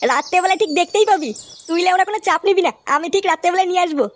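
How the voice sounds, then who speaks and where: A performer voicing a cheeky, foul-mouthed, scheming young village lad in a comic cartoon, brash and excitable. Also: extremely high pitch, racing.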